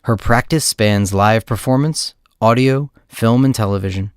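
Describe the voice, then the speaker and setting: A man hosting an audio play series, reading a scripted introduction in a measured, clearly phrased way. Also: mid-range voice, no echo, studio quality. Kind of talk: scripted introduction, read aloud.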